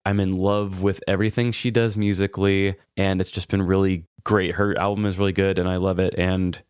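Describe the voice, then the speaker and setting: A man's voice: low-pitched, level tone, a little fast, clear, resonant tone, no room sound, clean studio sound. An American man co-hosting a podcast, chatting casually and comedically with gushing enthusiasm. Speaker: podcast co-host.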